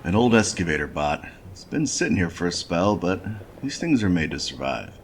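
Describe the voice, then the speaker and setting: Noir space-station handyman, deep register, lively inflection, wry, folksy, laconic, video game monologue, faint music behind. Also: normal speed. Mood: wry, matter-of-fact. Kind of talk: scripted point-and-click adventure game narration, noir.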